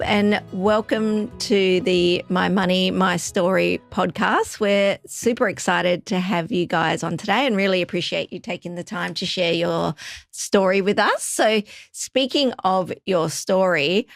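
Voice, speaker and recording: A woman's voice: mid-range voice, measured pace, gravelly, no echo, soft background music. A woman hosting a podcast, encouraging and upbeat with her guests. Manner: upbeat, encouraging, with warm laughs and affirmations. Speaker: podcast host. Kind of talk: scripted intro then interview chat.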